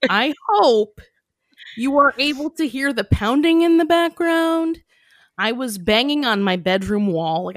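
A woman's voice: on the high side, no room sound. A woman co-hosting a podcast, chatting casually and giggling, filling her talk with "like". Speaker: podcast co-host. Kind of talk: casual chat.

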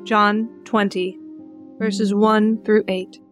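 A woman's voice: medium pitch, flat delivery, very slow, over music. A woman podcast co-host who moves between reading scripture and earnest, enthusiastic chat. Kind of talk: Bible reading and casual group chat.

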